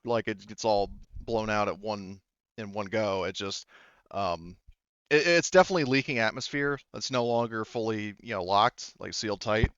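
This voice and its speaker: Low, smooth-voiced man, slightly muffled. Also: fairly bright tone, dry recording. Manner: halting, rambling, often rephrasing mid-sentence. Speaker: game master of a tabletop roleplaying game. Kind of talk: casual improvised game narration.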